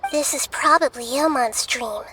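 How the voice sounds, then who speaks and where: Lady doing a wise little girl god in a game, high gentle voice, calm and careful. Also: very noisy, natural voice. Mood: thoughtful. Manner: measured, earnest, soft-spoken. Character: gentle, thoughtful childlike deity, small girl, wise and composed. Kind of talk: video game voice acting.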